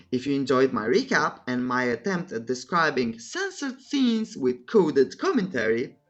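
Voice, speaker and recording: Cheeky woman narrator, very lively pitch. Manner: cheeky, tongue-in-cheek, coy euphemisms. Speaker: recap channel narrator. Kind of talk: scripted story recap narration with playful asides.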